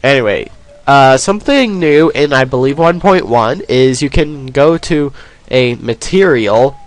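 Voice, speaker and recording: A man's voice: medium pitch, lively intonation, a little slow. A man with a cold, sniffling as he rambles through casual let's play commentary. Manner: rambling, self-deprecating, many ums.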